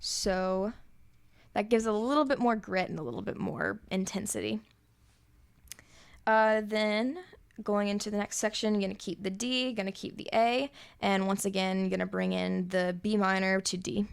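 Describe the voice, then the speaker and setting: A young woman with a high voice, casually explaining her chord changes to a song as a songwriter, relaxed and explanatory, pausing with thinking fillers. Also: gravelly, smooth. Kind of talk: casual music theory walkthrough.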